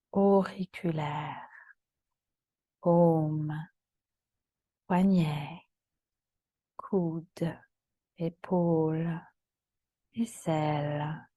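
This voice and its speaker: A woman yoga teacher guiding a relaxation meditation in a calm, soothing, soft voice that often turns breathy. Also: sits low, dry recording, clean studio sound. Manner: calm, soothing, gentle instructions with long pauses.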